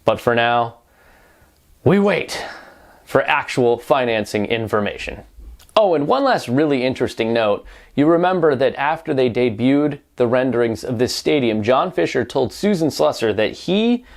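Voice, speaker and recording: Man giving pointed sports commentary. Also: medium pitch. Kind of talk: opinionated video news commentary.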